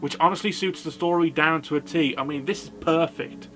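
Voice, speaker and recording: A man's voice: on the high side, fairly quick, fairly bright tone, soft background music. A man narrating a scripted comedic film review in a sarcastic, sweary manner. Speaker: film review video host.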